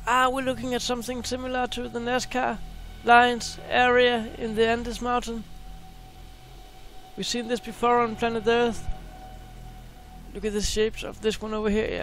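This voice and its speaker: A man hosting a space video, talking casually and excitedly over a screen share, often repeating words. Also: very high-pitched, creaky.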